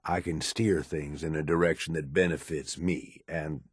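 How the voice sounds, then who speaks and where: A man acting as a middle-aged raider lieutenant in a video game, gruff and blunt, with a world-weary, pragmatic manner and rough slang. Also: rumbling low, steady tone, normal speed, no echo, clean studio sound. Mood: matter-of-fact, calculating. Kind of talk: video game character dialogue.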